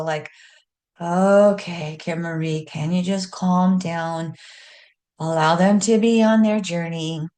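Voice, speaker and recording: Middle-aged woman astrologer, slow and earnest, folksy teaching tone. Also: mid-range voice. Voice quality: loud speaking voice. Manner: earnest, folksy, self-deprecating asides. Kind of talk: teaching astrology talk with slides.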